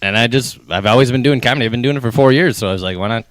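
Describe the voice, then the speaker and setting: A young man from North Dakota, a comedian guest in a casual studio interview, quick and self-mocking, laughing often. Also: mid-range voice.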